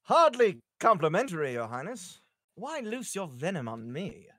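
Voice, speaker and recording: A man's voice: high voice, very animated, normal speed, bright, forceful tone, dry recording, clean studio sound, heavily acted. A man playing a masked pirate, coldly courteous and sardonic, in a live script read. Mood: mocking. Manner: sardonic, theatrical. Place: indoors, on a group video call.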